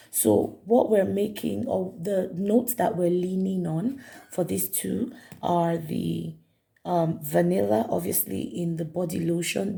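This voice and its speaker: A woman reviewing perfumes in a chatty vlog, her voice raspy and hoarse from a cold. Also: on the low side, dark tone. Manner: chatty, repeats herself, many fillers. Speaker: perfume vlogger. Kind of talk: casual product review to camera.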